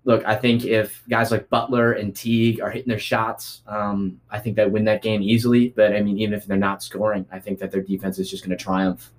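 A man's voice: lowish voice, flat delivery, brisk, few pauses. A man on a casual sports chat, weighing his views with a lot of "I think". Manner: thoughtful, hedging with "I think". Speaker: panelist.

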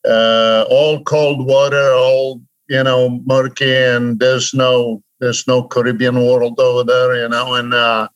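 A man's voice: medium pitch, little pitch movement, slow, clean tone, dry recording. A man with a Polish accent telling stories casually as an interview guest, rambling and often saying "you know", over a remote call. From Poland. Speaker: interview guest, diving helmet maker. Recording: dull, lo-fi remote call audio.

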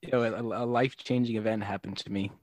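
A man's voice: steady tone, fast, no echo. A young man co-hosting a casual podcast, telling stories in a laid-back way with lots of filler words. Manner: laid-back, many "like" fillers, low-key delivery. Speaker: podcast co-host. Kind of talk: casual storytelling chat.

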